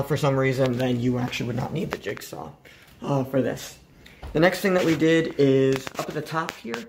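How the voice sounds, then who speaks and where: A man in his thirties talks viewers through a do-it-yourself project in an easygoing, step-by-step way. His mid-pitched voice carries a gravelly rasp. He sounds picked up by a camera mic in a room at home. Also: a little hiss or hum. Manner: relaxed, self-deprecating, explaining step by step. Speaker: DIY video host. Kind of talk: casual how-to narration.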